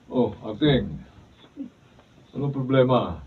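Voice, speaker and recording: A man's voice: medium pitch, lively intonation, takes it slowly, phone-quality sound. Meddling Filipino man, distant noisy recording. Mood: inquisitive. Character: meddling man siding with his scheming neighbour. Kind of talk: Filipino radio drama acting.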